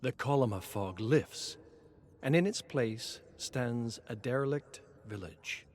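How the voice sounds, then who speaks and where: A male narrator tells a dark story with theatrical weight. He speaks very slowly in a mid-range pitch. His delivery is brooding and often hushed. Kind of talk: dramatic scripted narration.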